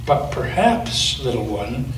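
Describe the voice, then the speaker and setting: A male pastor reading aloud and voicing an ancient, wise, gentle female turtle in a soft, hushed, slow way in an echoey church. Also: mid-range voice, very animated, far from the mic, soft background music, pitched below the performer's own voice.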